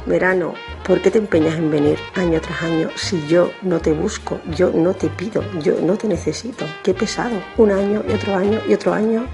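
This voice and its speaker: A woman's voice: on the low side, steady tone, brisk, few pauses, music in the background. A woman delivering a scripted comic address in a complaining manner.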